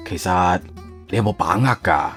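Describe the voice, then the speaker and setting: Man as a low-voiced, deferential museum director. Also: fairly bright tone, soft background music. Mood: worried. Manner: courteous, hesitant, drawn-out obliging phrases. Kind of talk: Cantonese audio drama acting.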